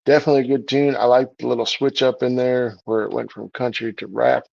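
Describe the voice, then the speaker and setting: A man's voice: mid-range voice, flat delivery, fairly quick, gravelly, a little mellow, dull audio. A man hosting a music livestream in a casual, rambling way, with many fillers and restarts. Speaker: livestream show host.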